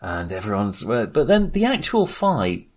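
A man's voice: on the low side, wildly swooping pitch, normal speed, a little mellow. A man co-hosting a film podcast in casual discussion, talking in a hesitant, rambling way and often correcting himself.

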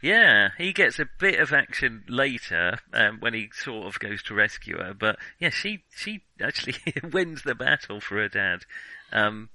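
A man's voice: medium pitch, very animated, measured pace, sharp tone, dry recording, low-quality recording. A man co-hosting a film podcast, chatting casually through a review in a hesitant, chuckling way as he thinks aloud.

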